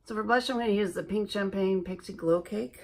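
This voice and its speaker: A woman from Alaska chatting slowly and self-deprecatingly while doing her makeup for a beauty vlog. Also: mid-range voice. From Alaska, USA. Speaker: beauty vlogger.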